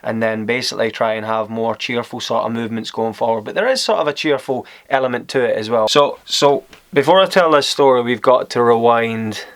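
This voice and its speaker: A man's voice: medium pitch, a little fast, hardly any pauses. Young Scottish man telling a story. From Scotland. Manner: rambling, candid, many fillers and restarts. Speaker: vlogger. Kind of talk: casual storytelling to camera.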